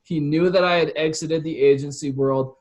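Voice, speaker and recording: A man's voice: a little high, steady tone, normal speed, a little mellow. A man explaining things earnestly and casually as an interview guest. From Calgary, Canada. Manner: earnest, explanatory, often voices example quotes. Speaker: guest, copywriter and consultant. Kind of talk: casual conversational interview talk.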